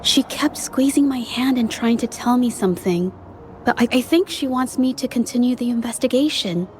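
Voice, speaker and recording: A woman's voice: on the high side, normal speed, darkish tone, a little hiss or hum, natural voice. A woman voicing an earnest young painter. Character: earnest young female painter, thoughtful investigator. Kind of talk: video game voice acting.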